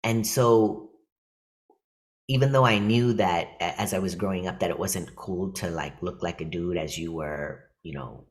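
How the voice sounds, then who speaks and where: A man from California with a deep, low voice, speaking to a workshop over a video call. He talks in a loose, reflective way, full of fillers and restarts, like someone chatting rather than lecturing. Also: unhurried. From California, USA. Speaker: workshop presenter and comedian. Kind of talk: casual talk to an online workshop.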